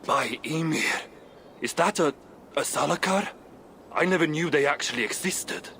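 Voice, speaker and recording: A man's voice: fairly high pitch, normal speed, sharp tone, a little hiss or hum. A man playing a proud, boastful dwarf craftsman in a video game cutscene, blunt and self-important. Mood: amazed.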